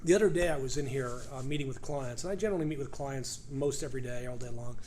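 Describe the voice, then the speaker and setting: A middle-aged man from New York with a New York accent, talking as a lawyer guest in a casual interview in a conference room, quick, with lots of "uh" fillers. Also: medium pitch, a little mellow.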